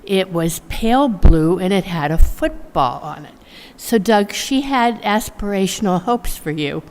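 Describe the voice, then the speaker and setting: A woman in her seventies giving a measured, wry reminiscing speech at a memorial in a large church. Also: mid-range voice, animated. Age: 70s. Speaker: college friend giving a eulogy. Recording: long echo of a large church hall.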